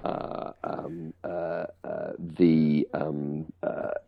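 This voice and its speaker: A man's voice: rumbling low, flat delivery, very slow, gravelly, no room sound, phone-quality sound. A middle-aged man with an English accent co-hosting a podcast, chatting casually and informally about a radio drama, often searching for words. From England. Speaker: podcast co-host.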